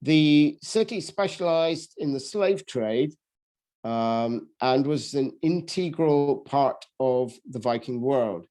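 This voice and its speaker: A man lectures on history at an unhurried, slow pace, often stopping to restart his sentences. His voice is dark and mellow, sitting at an ordinary middle pitch. He talks in a loose, off-the-cuff way rather than reading. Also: expressive. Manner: halting, many ums and restarts. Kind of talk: unscripted history talk. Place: lives in England.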